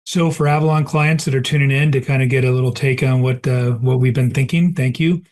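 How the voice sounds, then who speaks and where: A man's voice: medium pitch, fairly even intonation, a little fast, darkish tone. Chatty male host losing his voice. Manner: chatty, many fillers and throat clearing. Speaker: podcast host. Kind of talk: casual podcast outro.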